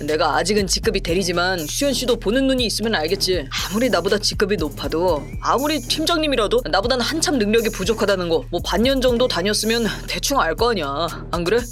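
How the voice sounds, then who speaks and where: A man's voice: high-pitched, expressive, quick pace, few pauses, music in the background. Heard in an audio drama: a smug, bullying office boss who scolds his junior and brags about himself, as a man acts him out. His talk drips with condescension. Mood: boastful. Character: arrogant, bullying male office assistant manager.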